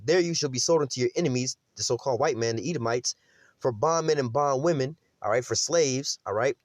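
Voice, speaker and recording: A man preaches from scripture in quick, slangy bursts, dropping "man" at the end of nearly every thought. He slows down to read the verses aloud before explaining them. The sound is close and dry, with no room echo. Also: mid-range voice. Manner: casual slang, frequent "man" and "you know what I'm saying"; reads verses slowly, then explains them. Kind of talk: street-style scripture teaching.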